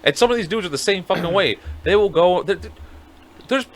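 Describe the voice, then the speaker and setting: A man's voice: sits high, animated, fairly quick, slight background noise. Blunt, profane man in his thirties. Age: 30s. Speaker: podcast co-host. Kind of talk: casual chat.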